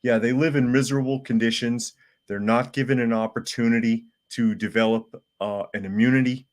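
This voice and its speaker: A man with a sore, hoarse throat who hosts a live podcast, reading viewer comments aloud in a plain-spoken, casual way. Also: mid-range voice, fairly even intonation, brisk. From United States. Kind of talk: casual live-stream chat.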